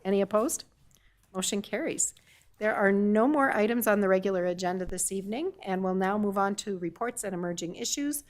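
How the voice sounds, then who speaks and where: A woman with an ordinary mid-range voice who chairs a city council meeting. She moves through motions, votes and agenda items in a brisk, procedural way. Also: mid-range voice. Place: council chamber.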